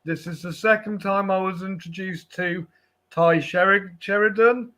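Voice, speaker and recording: A man co-hosting a podcast, chatting casually about films in a halting way that tends to trail off. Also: sits high, steady tone, sharp tone. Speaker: podcast co-host. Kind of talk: casual film chat.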